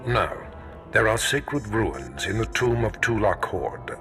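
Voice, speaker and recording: An actor playing a haughty, menacing Sith master in a video game, measured and commanding, as if lecturing a pupil. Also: male voice, on the low side, very animated, over music, exaggerated voice. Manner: measured, imperious, lecturing delivery. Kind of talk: video game cutscene voice acting.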